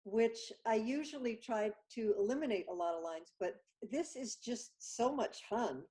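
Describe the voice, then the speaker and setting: A woman guest chatting over a video call, telling stories with lively acted-out dialogue. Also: a little high, unhurried. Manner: animated storyteller, acts out dialogue. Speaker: guest artist. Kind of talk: casual video chat.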